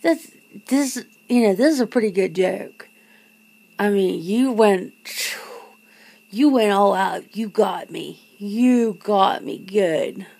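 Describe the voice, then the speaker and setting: A woman playing a nervous, teasing, disbelieving girlfriend in an intimate ASMR roleplay, talking haltingly and often dropping toward a whisper. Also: mid-range voice, creaky, mellow. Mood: incredulous, teasing. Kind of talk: ASMR roleplay, one side of an intimate conversation.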